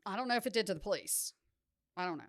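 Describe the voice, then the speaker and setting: A woman's voice: medium pitch, animated, racing. A woman co-hosting a casual true-crime podcast, blunt and wry, laying out case details and tacking on "allegedly". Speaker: podcast co-host presenting case research.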